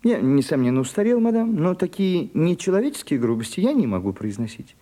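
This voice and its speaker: An actor playing a prim, deferential old male secretary in a radio play, husky, hesitant and fussily polite. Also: a little high, very animated, fairly quick, mellow. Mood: offended, flustered. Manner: hesitant, fussy, flustered politeness. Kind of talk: theatrical radio play acting.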